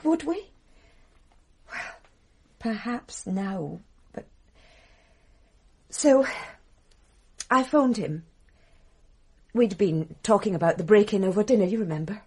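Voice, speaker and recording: An actress playing a titled English wife confessing to murder in a radio drama, bitterly ironic and theatrical, with a British accent. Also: female voice, medium pitch, lively intonation, takes it slowly, long pauses, a little mellow, slightly rough, heavily acted. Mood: resigned, confessing.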